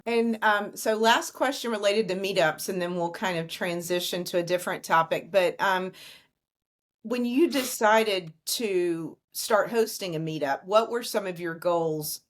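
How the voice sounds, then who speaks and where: A woman's voice: mid-range voice, unhurried. A woman hosting an interview podcast, asking questions in a casual, attentive way.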